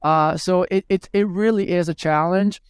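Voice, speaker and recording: Smooth-voiced man from Venezuela. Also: high-pitched, fairly even intonation, moderate pace, dry recording, studio quality. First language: Spanish. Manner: hesitant, many fillers and restarts. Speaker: guest, hospitality tech executive. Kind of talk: conversational interview answers.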